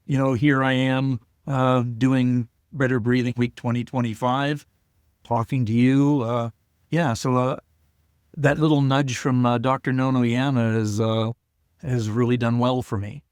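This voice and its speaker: Halting, shy older man. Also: medium pitch, little pitch movement, darkish tone, smooth, dry recording. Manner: halting, shy storytelling with frequent restarts. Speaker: guest, COPD advocate. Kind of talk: casual interview talk.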